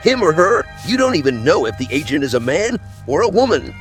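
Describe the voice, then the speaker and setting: A man playing a cold, haughty, distrustful elven supremacist mage in a fantasy game roleplay, speaking deliberately. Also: a little high, big pitch swings. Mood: challenging, sceptical. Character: cold, vengeful elven supremacist mage who hates the Empire. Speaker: roleplaying player character. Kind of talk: scripted fantasy roleplay narration and dialogue. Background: background music.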